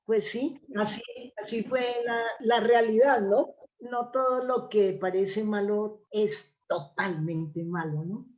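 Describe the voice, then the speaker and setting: Casting: Colombian woman, mid-pitched voice, interview guest from the theatre world, talking conversationally. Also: steady tone, takes it slowly, a little mellow, a little hiss or hum, low-quality recording.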